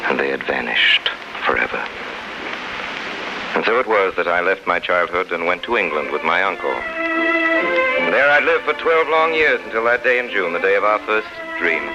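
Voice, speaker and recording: Casting: nostalgic gentleman storyteller, deep and measured, muffled vintage 1930s radio broadcast. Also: sing-song. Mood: wistful. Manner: slow, lyrical, measured. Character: wistful grown gentleman recalling his lost childhood. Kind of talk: 1930s radio drama narration. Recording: muffled 1930s radio, loud music behind. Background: loud music.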